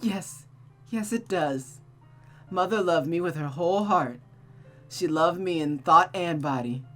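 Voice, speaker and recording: A woman's voice: lowish voice, measured pace, close to an everyday voice. A woman plays a cursed, tormented man from a visual novel. He is proud and contemptuous, sneering bitterly and dramatically over background music. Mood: disgusted. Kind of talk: visual novel character read-aloud.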